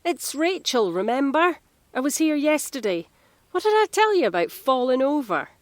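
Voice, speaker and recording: A woman playing a practical, kindly council carer in an audio drama, speaking in a gentle, coaxing, matter-of-fact way. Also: sits high, very animated, normal speed, no echo. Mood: concerned, coaxing.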